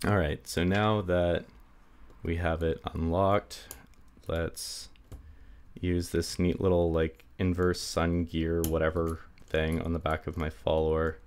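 Slow, low-voiced man, slightly stuffy. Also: darkish tone. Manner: rambling, self-deprecating, many fillers. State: stuffy, getting sick. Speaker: hobby lockpicker demonstrating. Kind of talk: casual hands-on tutorial. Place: indoors at a table.

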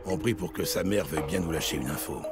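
An actor playing a brash, foul-mouthed bullying police detective in a French audio drama, sardonic and intimidating, with a gravelly voice. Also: male voice, low-pitched, quick pace, natural voice. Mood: weary narration. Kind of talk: French audio drama acting and noir narration. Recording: loud music bed behind the voice.

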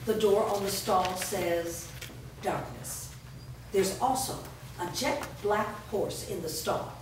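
A woman's voice: medium pitch, slow. A woman reading stage directions as scripted narration at a staged reading. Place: bare indoor room with small audience. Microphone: far camera. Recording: echoey room, distant mic, noisy.

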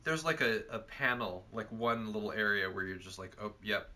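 A man's voice: on the low side, normal speed, pushed and bright, a little hiss or hum. A young man co-hosting a perfume review, chatting casually with lots of fillers in an indoor room. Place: cluttered indoor room with bookshelves.

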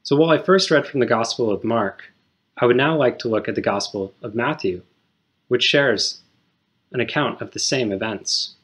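A man in his thirties giving a measured, scripted short sermon in a small indoor room. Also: medium pitch, fairly bright tone. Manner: measured, explanatory, reads scripture aloud.

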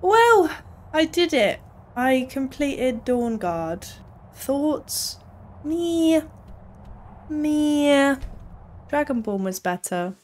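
Young woman game streamer: casual, dryly playful, slow-paced, higher register, close desk mic. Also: sing-song, a little mellow, slight background noise. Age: young adult. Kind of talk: casual gameplay commentary. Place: small room at home, indoors. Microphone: desk microphone, close, with headset. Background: game music.

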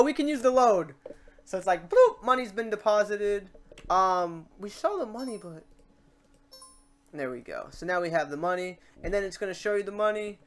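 A tutorial host chatting informally and thinking aloud in a meandering way while working through software steps. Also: mid-range voice. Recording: noisy room, keyboard and mouse clicks. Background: typing and mouse clicking.

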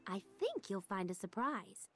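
A woman voicing a sweet, cheerful young fairy-tale guide in a children's game, encouraging and expressive. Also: mid-range voice, unhurried. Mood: helpful, explaining. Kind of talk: scripted children's game dialogue. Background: game music.

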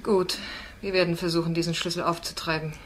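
A woman's voice: lowish voice, little pitch movement, fairly quick, slight background noise, lightly acted. A woman playing a firm, probing female defence lawyer in a crime radio play, with insistent, pointed questioning. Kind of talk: German radio drama acting.

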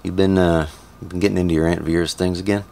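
An actor playing a weary, exasperated small-town sheriff with gruff, folksy authority in an audio drama. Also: male voice, deep voice, steady tone, fast talker, darkish tone, slight background noise. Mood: weary, stern.

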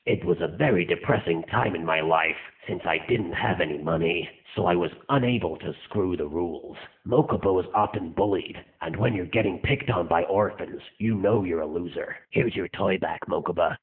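A man voicing a cold, arrogant, bored rich big brother with a callous deadpan delivery in an anime parody. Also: deep voice, moderate pace, few pauses, voice pushed down. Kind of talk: scripted anime parody dub. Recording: muffled phone-like lo-fi audio.

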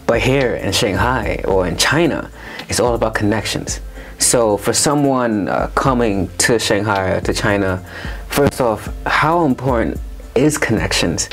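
A man's voice: fairly low pitch, unhurried, a bit bright, faint music behind. A man from New York, hosting the interview and asking hesitant, rephrased questions.

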